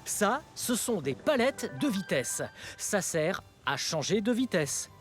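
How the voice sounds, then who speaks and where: Excitable children's show host, hugely animated intonation, very unhurried pace, playful, exclamatory, simple teaching. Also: medium pitch. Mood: amazed, playful. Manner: exaggerated wonder, simple explanations, frequent giggles and gasps. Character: excitable, wide-eyed, cheerful children's show presenter, playful adult. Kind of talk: exclamatory educational presenting for young children. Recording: music behind the voice.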